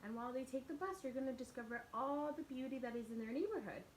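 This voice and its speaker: A woman with a fairly high voice reads a picture book to young children. She is expressive and speaks simply, like a teacher talking to her class. There is audible noise in the recording. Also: fast, low-quality recording. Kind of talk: read-aloud storytelling with asides to young listeners.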